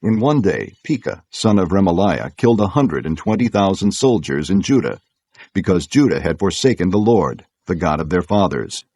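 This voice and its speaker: A man narrating scripture from a script in a solemn, measured storytelling manner. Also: deep voice, sing-song, darkish tone, no echo.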